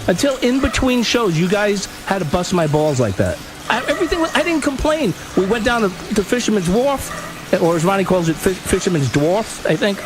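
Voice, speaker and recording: A man's voice: high voice, very animated, brisk, noisy background. An aggrieved man defending himself and complaining in a conversational radio argument.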